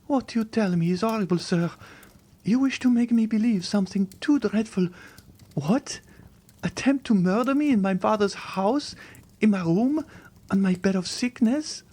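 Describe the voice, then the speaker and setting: A man's voice: high-pitched, lively intonation, normal speed, mellow, pitched above the performer's own voice. A man voicing a frightened, sickly young noblewoman who pleads in breathless exclamations.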